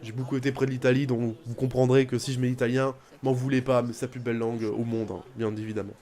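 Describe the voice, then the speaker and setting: A young man commenting casually on his football video game career, rambling, with bursts of excitement. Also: mid-range voice, quick pace. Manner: chatty, rambling, bursts into excited shouting at goals. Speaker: gaming YouTuber.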